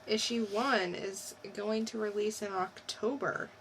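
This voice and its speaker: A woman's voice: mid-range voice, fairly even intonation, measured pace, a little hiss or hum, rough recording. A woman co-hosting a podcast in a casual, conversational style. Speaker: podcast co-host. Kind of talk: casual chat.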